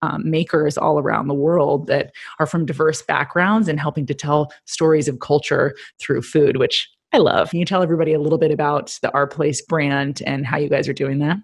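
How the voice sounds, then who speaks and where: A woman's voice: deep voice, steady tone, normal speed, few pauses, husky. A woman hosting a podcast, chatting with a guest in an enthusiastic, chatty way. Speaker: podcast host. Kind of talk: casual interview chat.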